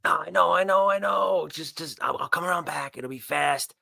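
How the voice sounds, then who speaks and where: A man's voice: on the high side, gravelly, sharp tone, no echo, studio quality, heavily acted. A man voicing a jittery biker who pleads and reassures, repeating his words, in a tabletop roleplaying game. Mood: pleading, hurried. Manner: rapid repetition of words.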